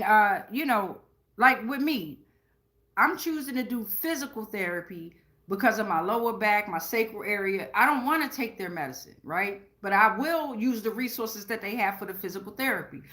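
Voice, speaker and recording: A woman's voice: a little high, sharp tone. A woman hosting a casual tarot livestream, talking straight to viewers in an emphatic, slangy, profane way and often checking in with tag questions. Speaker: tarot reader and livestream host.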